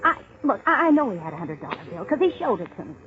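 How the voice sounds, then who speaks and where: A woman's voice: medium pitch, sing-song, very fast, natural voice. An actress playing a tough but easily hurt small-time crook's woman in a 1940s radio crime drama, slangy and emotional. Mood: bitter, accusing. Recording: muffled vintage 1940s radio recording.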